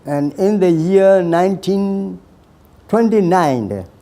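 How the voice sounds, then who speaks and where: A man in his nineties from Burma, slowly telling his life story with hesitant pauses and repeated phrases. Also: high-pitched, lively intonation, warm tone. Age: 90s. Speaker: veteran recounting his life. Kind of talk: slow personal storytelling.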